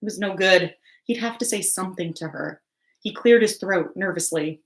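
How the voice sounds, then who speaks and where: A woman reading a story aloud like a teacher, in expressive narration. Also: fairly low pitch, moderate pace.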